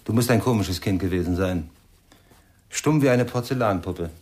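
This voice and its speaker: A man's voice: low voice, moderate pace, a little mellow, natural voice. An actor playing a teasing, impatient husband and father in a German radio play. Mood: needling. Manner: teasing, needling.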